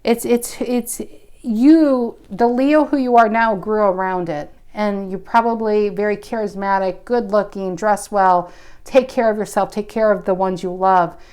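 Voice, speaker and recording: A woman in her forties talks through a tarot spread, musing and intuitive. She thinks aloud as she goes, breaking off and restarting her sentences. Her voice sits at an ordinary middle pitch. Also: steady tone, unhurried, a little mellow, clean tone. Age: 40s. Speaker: tarot reader. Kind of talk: casual spoken tarot reading.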